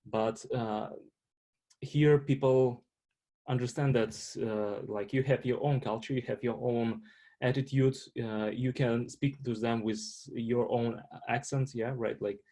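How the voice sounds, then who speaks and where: A man's voice: medium pitch, fairly even intonation, measured pace, mellow. A young man with a Russian accent, speaking as a student panelist, hesitant with many fillers and restarts. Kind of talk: panel discussion answer.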